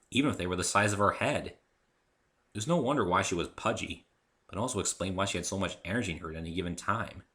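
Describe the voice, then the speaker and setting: A man reads first-person fiction aloud in a dramatic storytelling style. His voice sits very low, yet has a sharp, forceful tone. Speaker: author reading own story.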